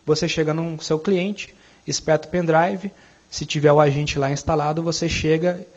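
A man with a Brazilian Portuguese accent giving a conversational conference tech talk, often checking in with the audience with quick tag questions. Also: on the high side, steady tone. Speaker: technical speaker. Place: conference room. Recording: dull lo-fi conference recording.

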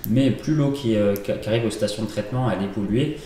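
A man with a low, dark voice asks passers-by questions and explains things quickly, filling his sentences with hesitations. He sounds a little distant from the mic, with a roomy echo around him. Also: slight background noise. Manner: explains at length with many hesitations. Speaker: street interviewer. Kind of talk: street vox pop interview.

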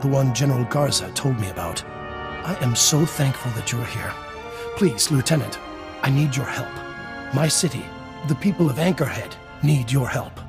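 Man acting gravelly pleading town mayor. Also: medium pitch, animated, normal speed, over music, close to an everyday voice. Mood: anguished, pleading. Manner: earnest, pleading. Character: distressed, pleading male desert town mayor. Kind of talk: dramatic video game voice acting.

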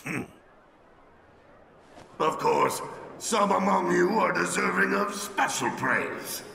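A man's voice: sits high, slow, vocal fry, bright, forceful tone, a little hiss or hum, heavily acted. A man playing a pompous, theatrical company official giving a grandiose ceremonial speech in a video game. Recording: echoey public-address hall reverb.

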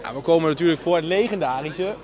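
A man's voice: on the high side, quick pace, a little mellow. A young man from Zwolle gives blunt, casual answers at an outdoor festival. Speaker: festival visitor. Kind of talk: casual chat.

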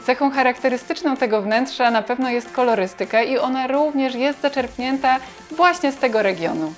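A woman presenting a home interiors show in a polished, smooth delivery. Her voice sits a little high, and soft music plays underneath. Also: fairly quick. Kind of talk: scripted voice-over and interview questions.

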